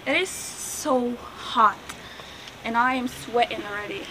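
Young woman, Nigerian accent, high voice, laughing chatty vlog talk, outdoor handheld camera. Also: fairly even intonation, takes it slowly, background noise. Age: young adult. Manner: chatty, laughing often, complains of heat. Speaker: vlogger. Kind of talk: casual vlog chat to camera. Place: outdoors by a house in hot sun. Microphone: handheld camera at arm's length.

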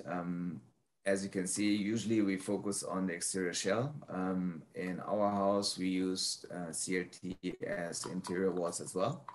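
Low-pitched man giving a halting presentation. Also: slight background noise. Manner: halting, hesitant delivery with frequent ums. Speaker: presenter, prefab builder. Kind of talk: informal slide presentation.

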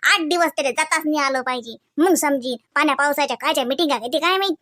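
A woman acts out a dramatic young wife in a comedy cartoon. The voice is extremely high and bright, with whiny, theatrical pouting in a Varhadi Marathi accent. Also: fast talker, no echo, clean studio sound.

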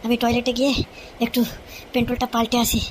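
Lady doing dopey lovesick cartoon husband, highish voice, begging and overdramatic, bit of hiss. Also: completely flat delivery, quick pace, close to an everyday voice. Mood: besotted. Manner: wheedling, exaggerated, melodramatic. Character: foolish doting cartoon husband, pleading and easily fooled. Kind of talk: comic cartoon dialogue.

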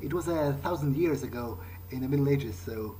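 A man's voice: medium pitch, a little fast, warm tone, a little hiss or hum, poor audio. A man doing in-character game commentary, thinking aloud in a musing, hesitant way. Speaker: gamer playing in character.

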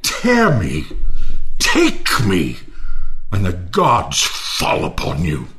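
A man playing an enraged, half-mad, ruined lord in a reading of a Shakespeare play, declaiming with drawn-out emphasis. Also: low voice, huge pitch swings, fairly bright tone, exaggerated voice. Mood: furious despair.

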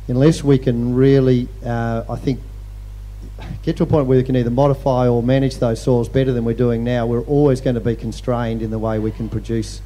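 A man on a conference panel, speaking in measured phrases that trail off quietly. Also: mid-range voice, steady tone, low-quality recording. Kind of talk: panel discussion. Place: large hall stage.

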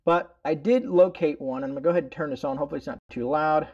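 Higher-voiced middle-aged man, casual rambling reviewer. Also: rapid-fire, a little mellow, highs cut off. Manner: informal, rambling explanation full of verbal tags. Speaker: host of a prepping product review channel. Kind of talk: casual product demonstration. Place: indoors in a room at home.

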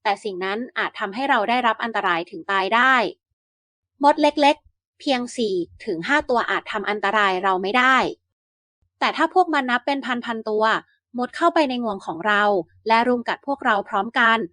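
A woman's voice: on the high side, lively intonation, normal speed, sharp tone, no room sound, studio quality. A woman voicing a wise mother elephant patiently teaching her calf in a fable. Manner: patient, instructive.

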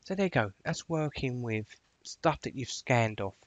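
Man giving a dull-sounding software walkthrough. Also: medium pitch, lively intonation, moderate pace, no echo. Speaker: tutorial presenter. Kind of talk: informal software tutorial, explaining step by step.

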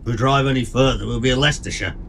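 A man's voice: medium pitch, rapid-fire, vocal fry, fairly bright tone, a little hiss or hum. Curt senior policeman played by a man. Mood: stern, irritated. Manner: curt, authoritative. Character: stern, dismissive senior male police officer. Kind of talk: audio drama acting.

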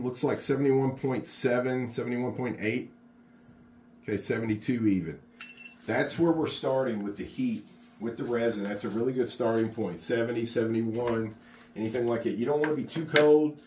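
A man's voice: medium pitch, steady tone, normal speed, a little mellow, roomy sound, a little hiss or hum. A middle-aged man, a contractor, giving a casual, encouraging how-to demonstration while he works in an unfinished garage workshop, recorded on a phone camera. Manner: chatty and encouraging, talks while working. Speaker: contractor teaching epoxy work.

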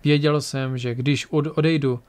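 Brief: man reading a soft-spoken young man, hurt but earnest. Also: on the high side, fairly even intonation, lightly acted. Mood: quiet. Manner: quiet, earnest. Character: young man from a poor world, quiet and hurt.

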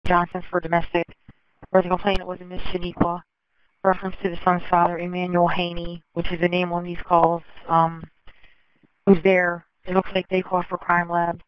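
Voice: medium pitch, completely flat delivery, moderate pace, smooth, no echo, lo-fi sound. A police dispatcher talking in clipped, procedural radio language, heard through a police scanner.

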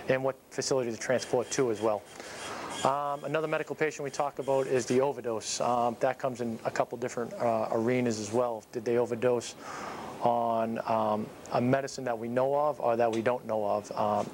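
Flat-toned man giving halting instruction. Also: mid-range voice, slight background noise. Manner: halting, matter-of-fact explaining. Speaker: paramedic instructor. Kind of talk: informal training talk. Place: small dispatch office, indoors. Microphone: clip-on lapel mic.

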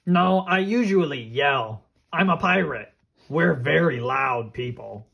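Performer as playful pirate pal for storybook read-along: husky, slow, curious, simple remarks. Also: medium pitch, animated, highs cut off, heavily acted. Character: playful, childlike pirate companion, curious and loud. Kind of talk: casual read-along chat.

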